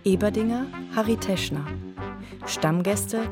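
A woman's voice: medium pitch, measured pace, music in the background. A woman reading the title and credits of a radio crime play as a scripted announcement.

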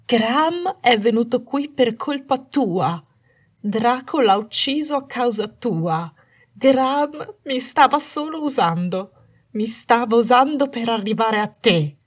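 A woman's voice: fairly high pitch, expressive, takes it slowly, slightly rough, voice pushed up, exaggerated voice. A woman narrator voicing a bitter young wife in an audiobook story, menacing and manic with jealousy, snarling and trembling with cold fury. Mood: accusing, furious. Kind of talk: dramatised audiobook dialogue.

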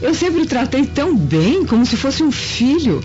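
A woman's voice: sits high, very animated, moderate pace, mellow. A woman playing a cynical older Roman matron in a radio drama, insinuating and falsely sweet. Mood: wounded insinuation. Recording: muffled radio drama with music.

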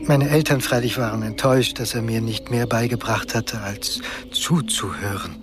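An actor as a withdrawn, sensitive young man telling his story in a radio play. His mid-range voice is measured and reflective. Music plays beneath it. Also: mid-range voice, natural voice. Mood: quiet, melancholic. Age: young adult. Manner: reflective, measured, hushed at times. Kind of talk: literary radio drama narration.

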